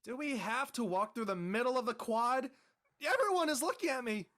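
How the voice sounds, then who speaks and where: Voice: medium pitch, lively intonation, moderate pace, a bit bright, natural voice. An actor voicing an embarrassed, exasperated teenage boy in a comedy drama, whiny and indignant. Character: embarrassed, exasperated teenage boy who became a viral meme. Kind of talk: comedic scripted audio drama dialogue.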